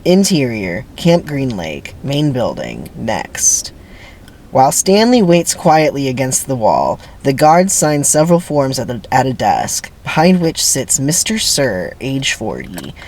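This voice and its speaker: A man's voice: medium pitch, big pitch swings, measured pace, a little mellow. A man doing a theatrical dramatic reading of a screenplay as narrator, with wry asides and faint background noise.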